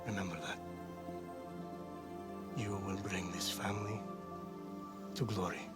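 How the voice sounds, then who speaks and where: A man's voice: lowish voice, steady tone, measured pace, loud music behind the voice, close to an everyday voice. An actor playing a proud, tender father in an ancient Greek video game. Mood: tender pride. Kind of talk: dramatic video game acting.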